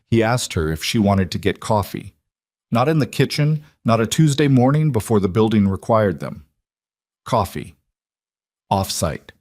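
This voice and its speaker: A man's voice: lowish voice, darkish tone, no room sound, clean studio sound. A man reading a scripted story as its narrator.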